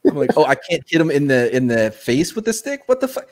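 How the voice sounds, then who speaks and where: A man in a casual video-call panel chat, animated and self-mocking, on a headset mic. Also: a little high, a little fast. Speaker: guest.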